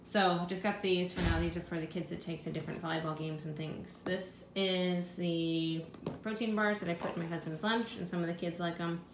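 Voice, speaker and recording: Woman vlogger, thirties, lowish voice, chatty and offhand, recorded by a handheld camera in an echoey kitchen with steady noise. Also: normal speed, hardly any pauses. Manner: chatty, thinking aloud about prices. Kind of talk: casual grocery haul narration. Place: home kitchen.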